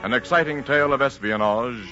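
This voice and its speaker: A man's voice: mid-range voice, brisk, pushed and bright. A man announcing a 1940s radio show from a script in a formal, dramatic style. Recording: muffled vintage radio recording, music behind.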